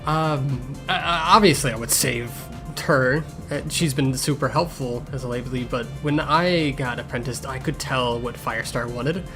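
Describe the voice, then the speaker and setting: A man's voice: medium pitch, moderate pace, few pauses, lightly acted. A man voicing a grumpy, sarcastic blind young cat healer in a fan Q&A, with a curt, irritable and defensive manner. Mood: earnest, resentful. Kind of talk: improvised in-character fan Q&A. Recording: music behind the voice.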